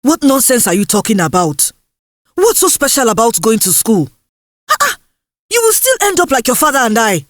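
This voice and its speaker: A woman storyteller voicing a harsh village mother, scolding and dismissive, full of sharp rhetorical questions in a folktale. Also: a little high, very animated, moderate pace, dry recording, studio quality. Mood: indignant.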